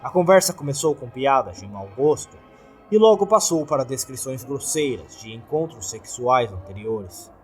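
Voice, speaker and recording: A man with a Brazilian accent narrates a scripted horror story in a husky, theatrical voice. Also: mid-range voice, animated, moderate pace, darkish tone. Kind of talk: scripted dramatic narration of a creepypasta. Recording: background music under the voice. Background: music.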